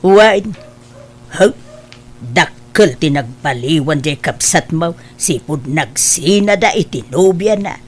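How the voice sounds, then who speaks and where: A woman's voice: low voice, lively intonation, takes it slowly, heavily acted. A woman playing a disapproving mother who scolds and questions a grown son in an Ilocano family audio drama. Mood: troubled. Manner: reproachful, questioning. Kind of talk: Ilocano radio-style family drama acting.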